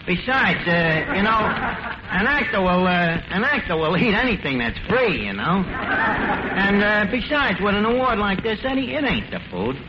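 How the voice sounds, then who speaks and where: An actor playing a brash, slangy New York tavern manager who bluffs with mangled grand words in a 1940s radio comedy. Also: male voice, high voice, sing-song, moderate pace. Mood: pompous, bluffing. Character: brash, fast-talking tavern manager full of big schemes. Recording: noisy, muffled 1940s radio broadcast.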